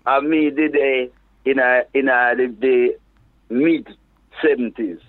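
A man from England with a Jamaican patois accent mixed with London speech, a reggae DJ chatting as an interview guest in a relaxed, halting way. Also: medium pitch. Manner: relaxed, halting, restarts phrases. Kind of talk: casual interview chat. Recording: phone-like narrow sound.

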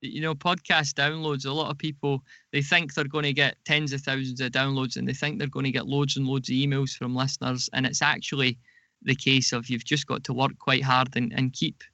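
A man's voice: mid-range voice, flat delivery, fairly quick, pushed and bright, dry recording. A man speaking as a podcast guest in an interview, explaining things conversationally, often repeating words and correcting himself.

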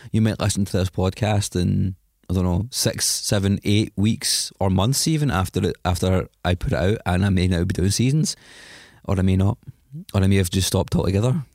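A man's voice: deep voice, darkish tone, no room sound, studio quality. Sniffly Scottish man hosting a podcast. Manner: chatty, self-deprecating, rambling. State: has a cold, sniffly. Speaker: podcast host. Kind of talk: solo podcast intro monologue.

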